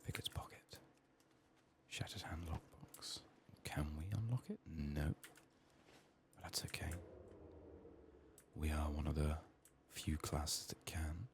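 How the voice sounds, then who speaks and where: A soft-spoken man giving ASMR video game commentary, often slipping into whispers, his voice strained from being sick. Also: rumbling low, vocal fry, slight background noise.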